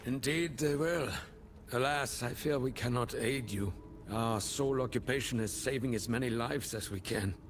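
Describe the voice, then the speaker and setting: A man's voice: mid-range voice, normal speed, slight background noise, close to an everyday voice. An actor playing a courteous, theatrical man in a fantasy game. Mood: courteous, regretful. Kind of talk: scripted game voice acting.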